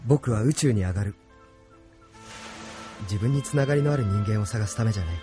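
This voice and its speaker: A man playing an earnest, resolute young space pilot in a Japanese anime audio drama, speaking gravely and sincerely. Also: on the low side, fairly quick, close to an everyday voice. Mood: solemn resolve. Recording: studio drama with background music.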